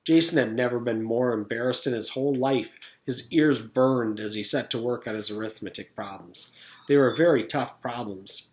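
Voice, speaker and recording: A man reads a children's storybook aloud at a middle pitch. The delivery is that of a teacher narrating to a class. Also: normal speed, low-quality recording. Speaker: teacher reading aloud to pupils.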